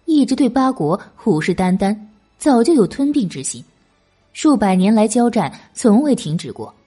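Husky, expressive woman narrator. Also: fairly high pitch. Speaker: audiobook narrator. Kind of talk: scripted novel narration.

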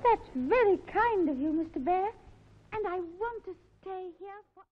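Chirpy toy puppet, childlike, extremely high, swooping lines, vintage children's show with tinny phone-like sound. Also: female voice, expressive, unhurried. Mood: chirpy, wistful. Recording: tinny old television soundtrack.

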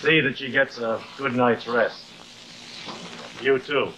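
An actor playing a suave, steady middle-aged gentleman friend in a polished, measured manner, in old live television drama style. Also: medium pitch. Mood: courtly, admiring. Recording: noisy, hissy old television soundtrack.

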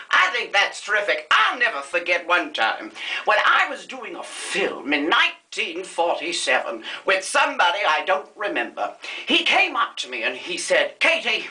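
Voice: mid-range voice, sharp tone, echoey room, exaggerated voice. A performer playing a vain, aging female film star who rambles and drops names in a grand diva manner, in a comedy makeup-tutorial sketch.

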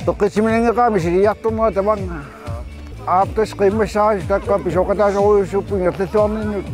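A man's voice: sits high, fairly even intonation, quick pace, music in the background. A man speaking cheerfully and briefly about a race. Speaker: race participant. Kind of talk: casual interview. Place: outdoors at a race event.

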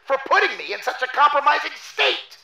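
An actor playing a pompous university dean in a horror drama, indignant and bewildered, with a stammering, pleading manner. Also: male voice, extremely high pitch, sing-song, fairly quick, creaky, bright, forceful tone, heavily acted. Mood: scornful. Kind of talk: analog horror drama acting. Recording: echoey room with faint noise.

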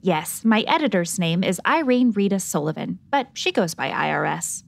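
A woman's voice: fairly low pitch, very animated, normal speed, creaky. A woman playing a harried, snappy ace newspaper reporter in a 1940s-style radio drama, with sassy vintage slang.

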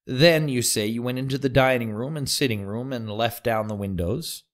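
An actor playing a prosecuting attorney in an audio drama, questioning a witness in a formal, methodical way. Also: male voice, medium pitch, moderate pace, close to an everyday voice. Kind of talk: audio drama reenactment of trial testimony.

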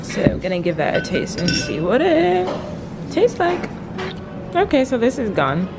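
A woman's voice: high-pitched, sing-song, unhurried, music in the background. A young woman hosting a food vlog, chatting to viewers while holding the camera at arm's length in a restaurant. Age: young adult. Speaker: vlog host. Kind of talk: casual food vlog chat. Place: indoor restaurant. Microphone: handheld camera at arm's length.

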